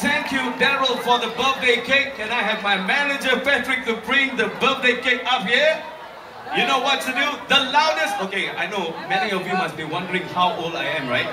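A young man with a Singaporean accent, a club DJ shouting jokes and calls to the crowd from the stage. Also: extremely high pitch, moderate pace. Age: 20s. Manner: shouting, joking, crowd call-and-response. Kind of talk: hyping up a nightclub crowd. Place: packed nightclub stage. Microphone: handheld mic on stage, filmed from far in crowd. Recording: echoey club from far off, loud crowd noise.

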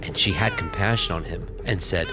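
A man narrating scripture from a script in a solemn, measured way. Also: sits low. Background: music.

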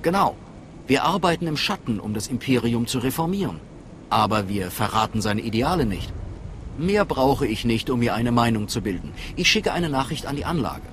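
A man's voice: mid-range voice, very animated, normal speed, fairly bright tone, slight background noise. A man playing an affable, shrewd major in a video game, with an informal, conversational manner. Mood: affable, conspiratorial. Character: affable, shrewd military major in secret order. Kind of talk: video game cutscene acting.

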